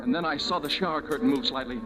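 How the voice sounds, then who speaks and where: A man's voice: on the high side, fast talker, music in the background, close to an everyday voice. An actor playing an anxious, evasive young man with a secret in an old-time radio suspense drama, tense and stammering. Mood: tense narrating. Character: nervous young man hiding a terrible secret. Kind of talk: old-time radio suspense acting with first-person narration. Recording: old radio broadcast recording.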